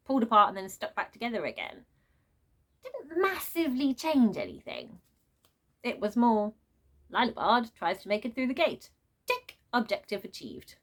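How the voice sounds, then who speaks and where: A woman giving an unscripted book review as a rant, with an animated, sarcastic way of talking. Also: a little high. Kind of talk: unscripted book rant with read-aloud excerpts.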